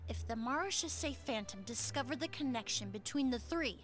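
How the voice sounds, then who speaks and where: High-voiced, incisive woman investigator. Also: animated, brisk, a bit bright, soft background music. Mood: accusing. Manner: pointed, articulate questioning. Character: composed, incisive female investigator questioning a suspect. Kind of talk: scripted video game dialogue.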